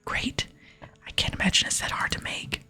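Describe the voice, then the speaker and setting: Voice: normal speed, bright, forceful tone, faint music behind. A person voicing a polite, pleading and slightly cheeky video game adventurer in a whisper. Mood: eager, cheeky.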